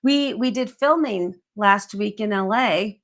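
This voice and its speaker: A woman's voice: mid-range voice, unhurried. Froggy-voiced woman co-hosting live sale. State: froggy, cracking voice from allergies. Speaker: co-host of live shopping show. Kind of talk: casual live-stream chat.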